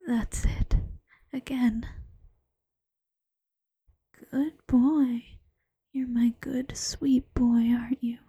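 A doting bunny-girl girlfriend, played by a woman, coaxes and teases in a slow, breathy whisper. Her hushed tone is dark and mellow, pitched a little high. The sound is close and dry, with no room around it. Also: little pitch movement, dry recording, heavily acted. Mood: teasing, coaxing. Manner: slow, coaxing and teasing. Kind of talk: ASMR roleplay.

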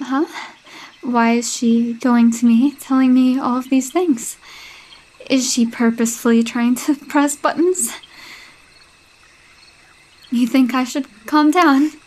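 A young woman voicing a possessive, jealous girlfriend with a sinister streak, sweet-talking and coaxing in a roleplay audio drama. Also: high voice. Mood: sweetly controlling. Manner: sweet-talking, coaxing, with unsettling laughs and hushed asides.

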